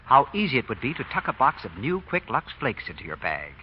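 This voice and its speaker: A man's voice: medium pitch, huge pitch swings, a little fast, slight background noise. A man with an American accent announcing and reading scripted advertising in 1940s radio style. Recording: dull vintage radio recording.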